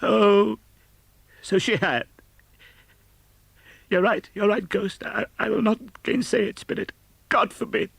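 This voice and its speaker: A man's voice: high voice, steady tone, takes it slowly, vocal fry, long pauses, a bit bright, husky, no echo, voice pushed up, heavily acted. A man doing a quavering old miser. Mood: wistful. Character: excitable old male miser, wistful.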